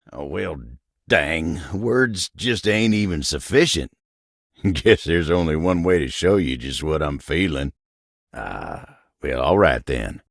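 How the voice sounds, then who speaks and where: Kindly wooden table character, Southern American country drawl, gravel-throated, lively rise and fall, self-effacing, folksy, game voice acting. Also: male voice, extremely low pitch, dry recording, studio quality, exaggerated voice. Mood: affectionate, smitten. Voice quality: gravelly, husky. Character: kindly, hardworking, humble male talking wooden table.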